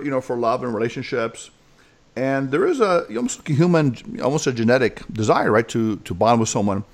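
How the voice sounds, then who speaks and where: An American man hosting an interview podcast in a chatty, animated way. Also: medium pitch. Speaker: host, clinical psychologist and speaker.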